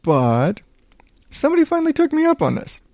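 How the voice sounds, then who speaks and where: A man hosting a solo podcast in a smooth voice, chatting casually in a low-key, rambling, self-deprecating way with some swearing. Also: wildly swooping pitch, a little fast, darkish tone, dry recording, studio quality. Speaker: podcast host.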